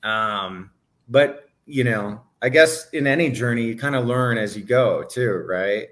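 A man's voice: mid-range voice, unhurried, fairly bright tone. A middle-aged man from Hawaii, a guest in an interview, talking casually and rambling, with many fillers and restarts.

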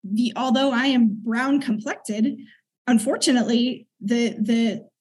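A middle-aged woman, a podcast guest, earnestly and reflectively recounting her experiences and clearing her throat now and then. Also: a little high, measured pace, a little mellow, poor audio. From United States. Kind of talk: conversational interview storytelling.

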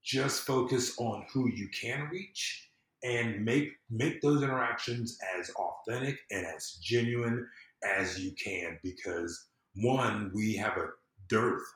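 Male interview guest, low pitch, echoey room sound, earnest conversational delivery that circles back for emphasis. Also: slow, fairly bright tone. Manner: earnest, emphatic, thinks aloud with repetitions. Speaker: podcast guest, civic empowerment consultant.

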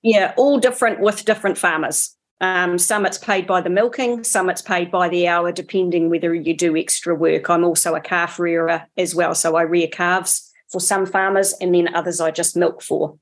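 A woman's voice: lowish voice, steady tone, moderate pace, few pauses. A New woman, a farmer, answering interview questions in a plain, matter-of-fact way. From New Zealand. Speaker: guest, farmer.

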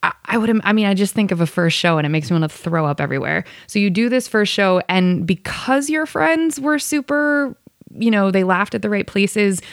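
A woman's voice: medium pitch, dry recording, studio quality. A woman hosting a casual interview, teasing her guest playfully and laughing easily. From United States. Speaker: interviewer host.

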